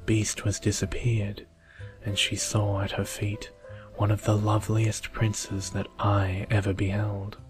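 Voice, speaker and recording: A man reading a bedtime story in a soft, hushed, gentle and measured ASMR style. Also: on the low side.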